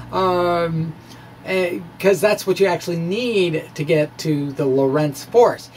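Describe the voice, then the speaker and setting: A middle-aged man with a fairly high voice lectures informally. He talks haltingly and hesitantly, slipping in wry asides as he explains. There is noticeable background noise in the recording. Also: poor audio. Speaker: physics blogger and lecturer. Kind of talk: informal physics lecture with derivations. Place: small indoor room with bookshelves. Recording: clear steady background noise.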